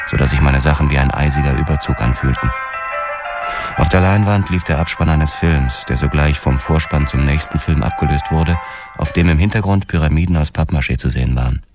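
An actor playing a driven, obsessive police detective who narrates his investigation in a terse, intense radio drama style. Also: a bass voice. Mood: tense, obsessive. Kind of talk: hardboiled first-person radio drama narration. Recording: music under the voice.

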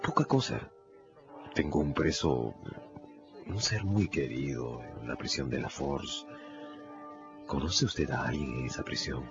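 An actor playing a resolute, hard-drinking English lawyer in a radio drama, deliberate and confidential in manner. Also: male voice, extremely low pitch, animated, dull audio, over music, exaggerated voice. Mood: sly confidential pressure. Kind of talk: Spanish-language radio drama acting.

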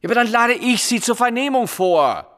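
An actor in a German radio drama playing a dogged, irritable police inspector, sceptical and halting in his arguing. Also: very high voice, expressive, a bit bright, big-room sound. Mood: irritated disbelief.

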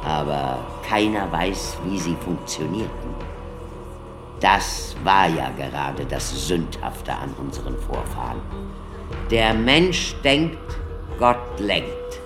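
Slow, theatrical lecturing doctor. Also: male voice, rumbling low, big pitch swings, over music, exaggerated voice. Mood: grave, rhetorical. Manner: declamatory, deliberate showman addressing an audience. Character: theatrical male doctor lecturing as a showman-demonstrator. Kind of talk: German radio play acting.

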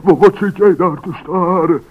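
A man playing a giggly, stammering, bumbling but sly mole in an old radio play, with a husky voice. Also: sits high, moderate pace. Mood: chuckling, sly. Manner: stammering, chuckling. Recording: dull old radio recording.

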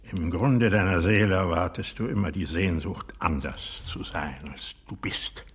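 An actor playing a bitter, proud old aristocratic man in a radio drama, speaking in a husky, breathy voice that often sinks toward a whisper, deliberate and accusing. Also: sits low, full character voice. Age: older. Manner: deliberate, halting, intense, accusing. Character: bitter, proud old aristocratic man, former hunter, brooding over betrayal. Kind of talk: radio drama monologue acting.

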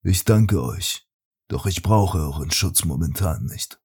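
An actor playing a stern, commanding male cult leader in a fan audio drama, speaking slowly and solemnly with a husky voice. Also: deep voice, lively intonation, darkish tone, distant mic, exaggerated voice. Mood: calm. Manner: slow, solemn, declamatory.

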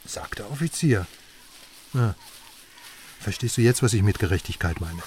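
An actor in a radio drama playing a brash West German investigative journalist, chatty, sardonic and emphatic. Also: male voice, on the low side, expressive, normal speed, darkish tone, close to an everyday voice. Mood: eager, sardonic. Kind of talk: naturalistic radio drama dialogue. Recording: slightly distant voice, faint outdoor noise.

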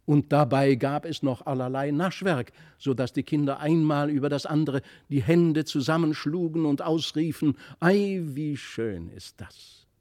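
Märchenerzähler, männlich, sehr trockene Aufnahme. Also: male voice, mid-range voice, darkish tone, no room sound, studio quality. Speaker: audiobook narrator. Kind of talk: expressive literary fairy-tale narration.

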